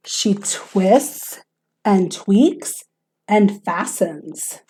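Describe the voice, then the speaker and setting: A woman teacher reading a children's picture book aloud in a theatrical, expressive way with emphasis on key words. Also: fairly high pitch.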